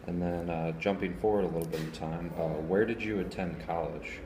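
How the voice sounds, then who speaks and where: A man's voice: deep voice, fairly even intonation, brisk. A man interviewing a guest, asking plain questions with a few fillers. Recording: echoey room with crowd noise. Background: crowd chatter.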